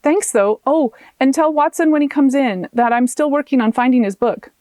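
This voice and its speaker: A woman's voice: high-pitched, moderate pace, darkish tone, no room sound, pitched above the performer's own voice, close to an everyday voice. A woman voicing a wry, self-deprecating young female shopkeeper in an audiobook, a little evasive in manner.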